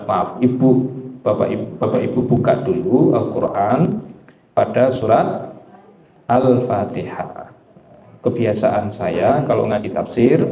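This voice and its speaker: A man teaching a Quran study class, talking conversationally, putting questions to the audience and often clearing his throat. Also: mid-range voice, dark tone. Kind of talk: religious study lecture to a congregation. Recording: echoey room with faint background noise.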